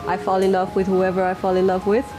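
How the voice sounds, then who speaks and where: A woman's voice: mid-range voice, completely flat delivery, quick pace, low-quality recording. Woman guest chatting over background music. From Dominican Republic, raised in Belgium. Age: late 20s. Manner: rambling, many "like" fillers, laughs often. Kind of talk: casual talk show chat.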